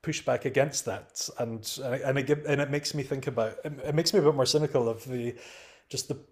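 A man's voice: medium pitch, fairly even intonation, a little fast, darkish tone. A man speaking as a podcast guest, thoughtful and hesitant, with many restarts and fillers. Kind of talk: reflective podcast discussion.

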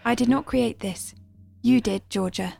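An actress voicing a calm, clinical artificial guide in an audio drama, with a measured, formal manner. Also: female voice, on the high side, full character voice. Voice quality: creaky, soft-toned. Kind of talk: restrained audio drama acting. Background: music.

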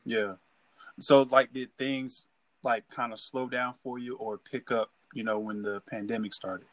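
A man's voice: mid-range voice, steady tone, unhurried, dry recording, low-quality recording. A man hosting a casual interview, laid-back and dropping "man" into his questions. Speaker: interview host.

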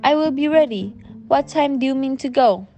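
Woman playing a plucky, high-voiced little pig. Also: moderate pace, darkish tone, soft background music. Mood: eager. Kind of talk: bedtime storybook character voice.

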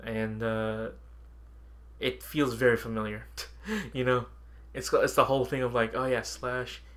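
A man's voice: medium pitch, steady tone, measured pace, a bit bright. A man with a mid-range voice talks casually as a podcast co-host. He chats informally about games and often breaks into a chuckle between phrases. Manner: chuckles often while talking.

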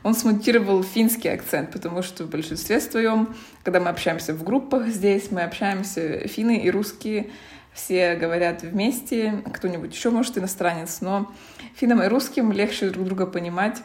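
Russian lady chatting on a podcast, thinks out loud, mid pitch, easygoing. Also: moderate pace. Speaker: podcast co-host. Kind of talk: casual podcast chat.

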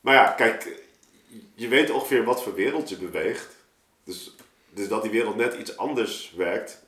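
A man with a fairly low voice explains things in casual conversation. He digresses and breaks off his own sentences as he goes. The recording has clear room echo. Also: a little slow, fairly bright tone. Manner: digressive, conversational, interrupts himself. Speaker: artist, story maker on a podcast. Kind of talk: casual chat, explaining his creative process. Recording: roomy, echoing room sound.

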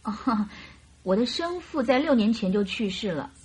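A woman's voice: a little high, expressive, normal speed, a little mellow, breathy edge, rough recording. An actress playing a cheerful, chatty young woman typist in a radio drama, girlish and emphatic. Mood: explaining, lively. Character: plump, cheerful, chatty young female typist in her early twenties.

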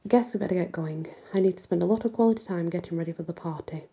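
A woman's voice: on the low side, fast talker, gravelly, mellow, slightly rough. A woman voicing a defiant teenage girl in a storybook, firm and casual. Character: defiant teenage girl, nearly adult, standing up to aunts.

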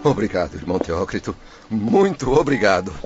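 Impatient stubborn spirit patient, deep voice, radio drama, music loud behind. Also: male voice, very animated, normal speed, lo-fi sound. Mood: relieved gratitude. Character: headstrong, impatient male spirit patient eager to leave.

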